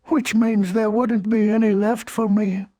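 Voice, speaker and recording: A woman voicing a gentle, naive teddy bear who muses slowly in a children's storybook. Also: medium pitch, flat delivery, no echo, clean studio sound, natural voice. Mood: musing. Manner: slow, musing, naive. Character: gentle, simple, kindly male teddy bear. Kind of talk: children's storybook character acting.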